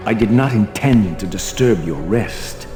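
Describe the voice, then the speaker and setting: A low voice rings through a long reverb with music behind it. a vampire wraith in a video game, brooding and bitter, with grave, formal delivery. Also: male voice, expressive, a little slow. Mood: guarded, bitter. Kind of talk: dramatic video game narration and dialogue. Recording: hall reverb under clear music.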